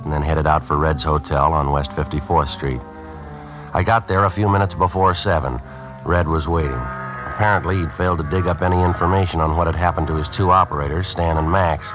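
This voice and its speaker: An actor playing a tough undercover police detective in a 1950s radio drama, clipped and hard-boiled, also narrating. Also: male voice, sits low, close to an everyday voice, rough recording. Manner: clipped, hard-boiled, bullying when in character. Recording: old radio broadcast with faint music.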